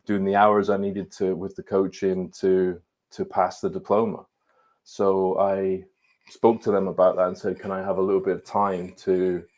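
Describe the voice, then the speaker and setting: Low, flat-voiced man with softened Scottish accent. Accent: softened Scottish with London influence. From Scotland. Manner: halting, repeats words as he starts sentences. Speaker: guest, ADHD coach. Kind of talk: casual online chat.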